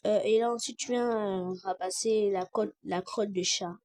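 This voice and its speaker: A woman's voice: medium pitch, fairly even intonation, normal speed, darkish tone, natural voice. A woman playing a doting but demanding father who gives orders hesitantly in a homemade skit. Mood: ordering.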